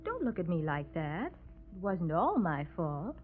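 A woman's voice: lowish voice, big pitch swings, unhurried. An actress playing a teasing, affectionate detective's girlfriend in an old radio drama, speaking intimately. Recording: thin phone-like vintage radio, slight noise.

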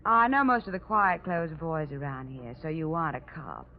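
A woman's voice: deep voice, very animated, moderate pace, slight background noise. An actress playing a cool, flirtatious nightclub woman with an American accent in 1940s radio style. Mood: knowing, flirty. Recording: muffled old radio drama recording.

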